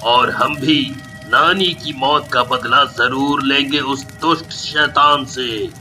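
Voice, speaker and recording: A man plays a loyal young villager in a storybook drama. He speaks slowly and earnestly, solemn in tone, with a bright, forceful voice over faint music. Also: medium pitch, fairly even intonation, voice pushed down. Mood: solemn, resolute.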